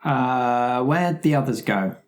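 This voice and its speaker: A woman's voice: a bass voice, expressive, slow pace. A woman playing a tabletop game, casually describing and speaking for her character.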